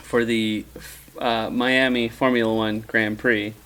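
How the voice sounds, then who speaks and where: A man's voice: medium pitch, little pitch movement, slow pace, a bit bright, a little hiss or hum. A man co-hosting a podcast in casual, opinionated group chat, with some swearing. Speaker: podcast co-host.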